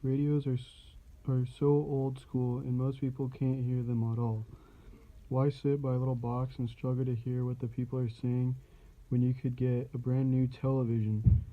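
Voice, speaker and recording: A man's voice: medium pitch, level tone, moderate pace, narrow, tinny audio, close to an everyday voice. A man playing a persuasive salesman in a scripted old-time radio advert.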